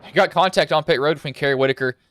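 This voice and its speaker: A young man with a slightly deep voice commentating a sim truck race live, casual and rambling, getting excited when cars wreck. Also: rapid-fire, no room sound. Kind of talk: live sim racing play-by-play.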